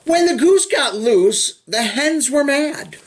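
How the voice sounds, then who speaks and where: A man reading a children's picture book aloud in an expressive, sing-song way, with drawn-out phrasing and chatty asides. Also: extremely high pitch. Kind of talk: animated storybook read-aloud with chatty asides.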